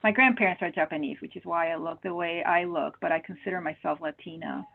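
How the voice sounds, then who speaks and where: A woman speaks with a fairly low, gravelly voice. She answers webinar questions earnestly and thinks aloud as she goes, doubling back on her words. The sound is thin and narrow, like a video call heard over a phone line. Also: fast talker. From Peru. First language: Spanish. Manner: earnest, thinking aloud with many repeated starts. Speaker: medical oncologist, panelist.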